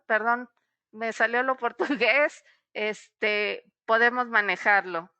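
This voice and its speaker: A middle-aged woman speaks as a psychologist leading an online session, talking directly to the listener and pausing on "eh" as she explains. Her voice sits a little high and rings bright and crisp. The recording is dry and close. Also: dry recording. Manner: warm-toned explanatory, frequent "eh" fillers. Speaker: psychologist giving a webinar. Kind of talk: webinar talk addressed to the listener.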